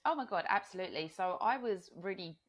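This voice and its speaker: A hoarse woman from England, a podcast guest, telling her story in a chatty way with frequent laughs and fillers. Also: mid-range voice, expressive, fairly quick. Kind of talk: casual interview storytelling.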